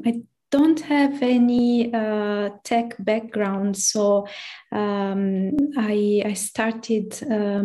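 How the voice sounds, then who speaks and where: A woman's voice: medium pitch, mellow. A woman with an Italian accent giving a talk at a tech meetup, hesitant, with many fillers and restarts. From Italy. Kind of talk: presentation talk.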